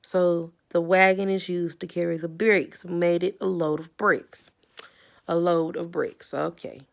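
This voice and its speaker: A woman's voice: on the low side, little pitch movement, unhurried, gravelly. A woman reading a children's story aloud, adding simple instructive explanations as she goes. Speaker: storybook reader.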